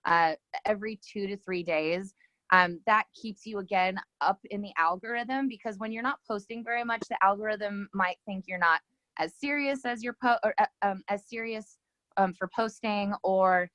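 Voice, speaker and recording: A woman's voice: mid-range voice, moderate pace, bright, forceful tone, no echo, poor audio. A woman guest giving casual social media advice, rambling with many ums and restarts.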